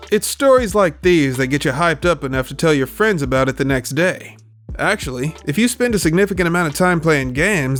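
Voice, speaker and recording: A man hosts a scripted video essay in a somewhat high voice. He is opinionated and emphatic, with casual, sweary phrasing. Music plays faintly behind his narration. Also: fairly bright tone.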